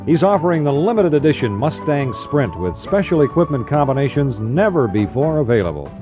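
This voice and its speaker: A man's voice: fairly high pitch, sing-song, fairly quick, highs cut off. An American man presenting as a 1960s AM radio DJ and announcer. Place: dry radio studio. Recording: 1960s radio aircheck, music behind.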